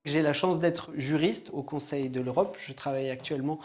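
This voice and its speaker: Earnest man, somewhat high voice. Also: moderate pace, darkish tone. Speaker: lawyer at a European institution. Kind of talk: interview answer.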